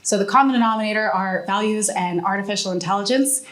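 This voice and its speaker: A young woman speaking as a guest on a live podcast panel in a hotel event room, projecting confidently and explaining clearly into a handheld mic. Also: mid-range voice, quick pace, fairly bright tone. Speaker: guest, AI ethicist and author. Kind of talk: panel conversation.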